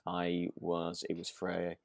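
A man's voice: low voice, completely flat delivery, unhurried, creaky, no echo, studio quality. A British man co-hosting a casual podcast about a radio drama, speaking hesitantly with chuckles as he talks. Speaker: podcast co-host and actor.

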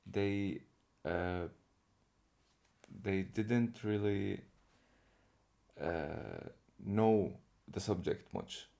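Young male interview guest from Saudi Arabia, deep gravelly voice, relaxed and laughing. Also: very slow, long pauses. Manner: easygoing, laughs often while telling stories. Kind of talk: casual conversation.

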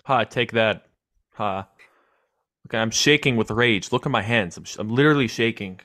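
A man's voice: fairly low pitch, normal speed, a bit bright, no room sound. Nasal young man hosting a podcast. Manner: self-deprecating, joking, laughs often. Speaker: podcast host. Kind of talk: casual interview chat.

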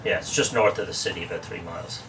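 A deep-voiced Irish man from Dublin, in his forties, chatting as a guest with dry jokes and restarts. He sits a little back from the mic, and a faint hiss lies under the speech. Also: fast, fairly bright tone, distant mic. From Ireland. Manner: chatty storyteller, wry jokes, frequent restarts. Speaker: guest, real estate expert. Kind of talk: casual interview chat.

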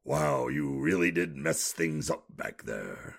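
An actor playing a gruff, sardonic, easily exasperated man in an audio drama, with a raspy, gravelly voice. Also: a bass voice, lively intonation, slow, exaggerated voice. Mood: exasperated disbelief.

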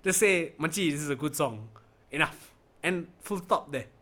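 Blunt Malaysian podcast host, swearing freely. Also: male voice, fairly high pitch, lively intonation, moderate pace, fairly bright tone. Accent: Malaysian English. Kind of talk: casual chat.

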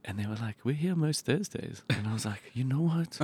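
Slightly croaky South African man chatting. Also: medium pitch, animated, brisk, a little mellow. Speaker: podcast host. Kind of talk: casual chat.

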